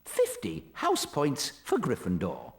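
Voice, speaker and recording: A man's voice: medium pitch, huge pitch swings, slow pace, heavy background noise. A man voicing a fussy, formal male wizard professor, speaking in a prim, lilting way in a game cutscene. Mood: pleased. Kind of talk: video game cutscene acting.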